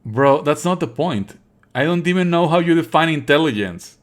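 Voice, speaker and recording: A man's voice: fairly high pitch, lively intonation, moderate pace. A man giving casual live game commentary in a blunt, exasperated, sweary manner. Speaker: streamer playing and commenting on a visual novel. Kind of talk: casual live game commentary, partly reading dialogue aloud.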